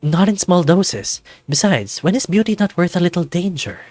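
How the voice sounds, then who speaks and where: A man voicing a dreamy goat dragon painter in a game, with a flowery, refined, unhurried manner. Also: high-pitched, big pitch swings, a little mellow, no room sound, soft background music, voice pushed up.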